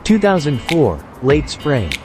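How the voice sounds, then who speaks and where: A man's voice: on the high side, wildly swooping pitch, slow, music in the background, exaggerated voice. A man voicing a curious, polite young university student in an audio novel. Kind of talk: scripted audio novel dialogue.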